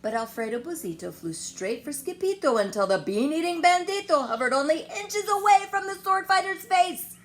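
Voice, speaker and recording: A woman reading a children's picture book aloud in an expressive, dramatic storytelling manner. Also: extremely high pitch. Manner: expressive, dramatic pauses and whispers.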